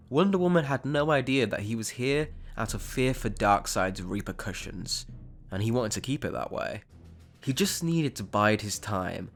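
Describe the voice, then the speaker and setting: Pria narator cerita dengan musik latar. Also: male voice, mid-range voice, vocal fry, a bit bright. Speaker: narrator. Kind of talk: scripted dramatic story narration. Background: background music.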